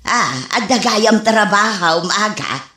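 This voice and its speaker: An actress playing a nosy, slyly teasing older village auntie in an Ilocano radio comedy drama, prying in drawn-out phrases with an Ilocano accent. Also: female voice, medium pitch, bright, forceful tone. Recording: echoey, with music behind.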